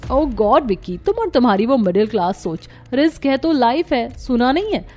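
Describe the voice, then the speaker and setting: A woman's voice: a little high, sing-song, normal speed, a little mellow. A woman voicing a spoiled, snobbish young wife in a cartoon, whiny and nagging. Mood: scornful.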